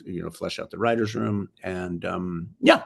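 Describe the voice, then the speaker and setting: An older American man with a gravelly, fairly low voice, chatting casually as a guest. He wanders through his thoughts and restarts his sentences. He is heard over a video call. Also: normal speed. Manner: rambling, restarts sentences often. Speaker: film director guest. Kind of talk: casual interview chat.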